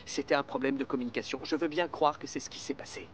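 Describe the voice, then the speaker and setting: A man's voice: medium pitch, fairly even intonation, quick pace, slight background noise, lightly acted. A man voicing an earnest young man pleading his case. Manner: pleading, defensive. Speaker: record label rep. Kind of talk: dubbed telenovela acting.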